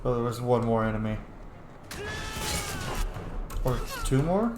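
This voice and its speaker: A man's voice: medium pitch, expressive, unhurried. A man giving casual let's play commentary as a streamer, with rambling asides and playful exclamations at the game's characters. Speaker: gaming streamer and content creator. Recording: roomy echo under loud game music. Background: video game music.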